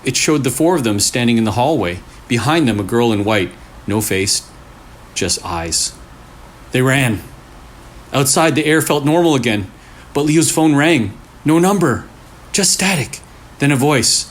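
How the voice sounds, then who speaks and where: A man reading a scary story aloud in short, deliberate phrases with pauses for suspense. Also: mid-range voice, lively intonation. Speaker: storyteller, channel host. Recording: clearly noisy room recording.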